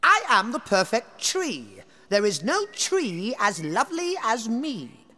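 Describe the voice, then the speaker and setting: Lady doing a stuck-up oak tree, high and squeaky, snooty, pitch going all over the place. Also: big pitch swings, takes it slowly, pushed and bright, long reverb tail, exaggerated voice. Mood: haughty. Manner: snooty and self-satisfied. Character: vain, haughty talking oak tree.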